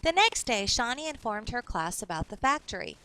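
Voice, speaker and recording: Female teacher, slightly high pitch, read-aloud storytelling for a young class, instructive delivery. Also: very animated, a little fast, bright, forceful tone.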